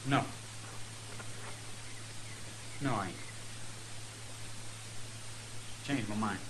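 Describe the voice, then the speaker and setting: A man's voice: fairly low pitch, fast talker, a bit bright, slight background noise, lightly acted, poor audio. An American man playing a nervous, defiant young Texan gunslinger in a 1950s Western radio drama. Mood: backing down.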